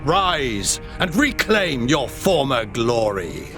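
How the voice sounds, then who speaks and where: A man voicing a scheming male necromancer who bargains with deferential flattery in a game cutscene. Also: mid-range voice, over music. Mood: bargaining. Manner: oily, deferential, slow pleading. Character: scheming, groveling male necromancer seeking immortality.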